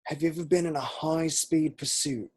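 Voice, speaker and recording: Male actor as keen village bobby. Boyish, a bit high, husky. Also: steady tone, moderate pace, darkish tone. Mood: eager, curious. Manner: keen, questioning. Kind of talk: film script table read.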